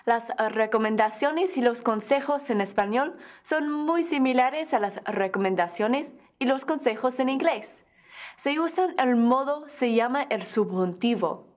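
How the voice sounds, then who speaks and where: Young female teacher, on-camera studio lesson, slow and clear, slightly high voice. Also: lively intonation. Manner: slow, careful explanation to learners. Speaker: language teacher. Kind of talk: scripted video lesson.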